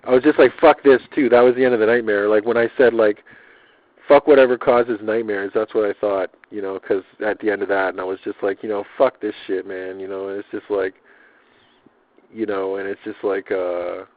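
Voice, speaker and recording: A man's voice: on the low side, steady tone, fairly quick, phone-quality sound. A man keeping a casual audio diary, rambling in a loose, slangy way with swearing and repetition. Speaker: personal audio diarist.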